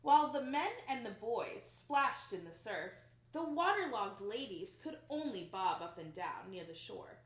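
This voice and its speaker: A young adult woman reading a picture book aloud to children in an expressive, emphatic storytelling manner. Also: mid-range voice, measured pace. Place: small plain indoor room. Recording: roomy indoor echo.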